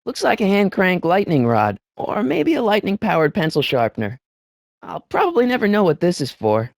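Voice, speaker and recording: An excitable teenage boy hero for an adventure video game. His delivery is lively and swoops up and down, with small hesitant stammers and an incredulous edge. He comes across as good-hearted, recorded dry with no room around him. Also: sits high, normal speed, gravelly, dry recording, heavily acted. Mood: musing, curious. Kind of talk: animated video game voice acting.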